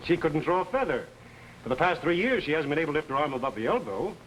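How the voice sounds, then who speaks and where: Skeptical family doctor, 1950s TV drama: highish voice, swooping intonation, brisk and matter-of-fact. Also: male voice, big pitch swings, a bit bright. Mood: blunt, skeptical. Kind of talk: 1950s television mystery acting. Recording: noisy old 1950s television soundtrack.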